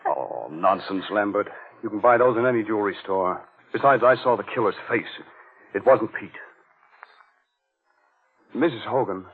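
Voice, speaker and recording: A man's voice: fairly low pitch, expressive, breathy edge. An actor playing an earnest, determined man telling his own murder mystery in 1940s radio style. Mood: reassuring. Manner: earnest, intense, deliberate. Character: determined wounded man hunting his friend's killer, narrating. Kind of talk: 1940s radio acting and dramatic first-person narration. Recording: muffled old radio recording with music behind.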